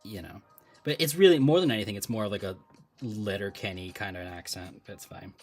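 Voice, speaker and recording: Low-ish guy streaming, rambling and laughing at chat, quiet music in the back. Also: fairly quick. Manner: chatty, laughs often, talks to viewers. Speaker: live streamer. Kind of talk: casual live-stream chat while drawing.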